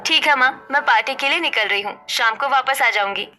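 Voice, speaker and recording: A woman's voice: high voice, brisk, bright, forceful tone. A woman voicing a vain, fashion-obsessed rich young woman in a family story, with a haughty, boastful manner. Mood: excited. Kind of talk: Hindi animated family story dialogue. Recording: faint background music.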